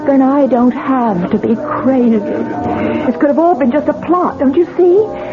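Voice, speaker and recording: An actress playing a distraught young newlywed wife in a 1970s radio drama, stammering and defensive. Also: female voice, high voice, measured pace. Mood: eager. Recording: tinny old radio broadcast with music.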